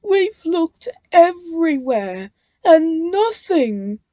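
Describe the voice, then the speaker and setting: Woman voicing a squeaky, panicky cheeky twin engine. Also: expressive, slow pace, mellow, no room sound, lo-fi sound. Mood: worried.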